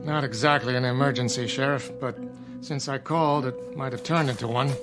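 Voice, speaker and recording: An actor in a radio drama playing a concerned small-town doctor who explains things earnestly. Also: male voice, mid-range voice, fairly even intonation, a little fast, over loud music, lightly acted. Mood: worried, explaining.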